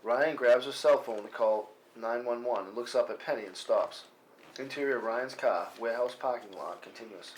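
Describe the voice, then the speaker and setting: A man's voice: medium pitch, normal speed. A man reading out scene headings and action lines as scripted narration at a table read. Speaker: stage-direction reader. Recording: noisy live room recording with clicks.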